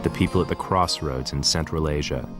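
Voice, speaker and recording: A man giving measured, earnest scripted narration for a documentary. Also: extremely low pitch. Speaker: documentary presenter. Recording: voice-over with loud music behind.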